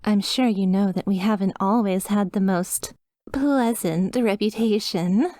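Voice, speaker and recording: A woman's voice: mid-range voice, measured pace, warm tone, dry recording, clean studio sound, close to an everyday voice. A woman voicing a chipper, sweetly reassuring demon resort receptionist with a polished, over-polite customer service voice in a scripted ASMR roleplay. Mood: bright, welcoming.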